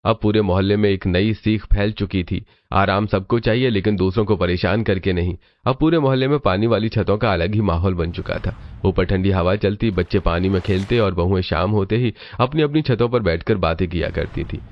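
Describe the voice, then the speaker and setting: A man's voice: low voice, normal speed, hardly any pauses, dry recording, muffled recording. A man narrating a scripted cartoon story as its storyteller.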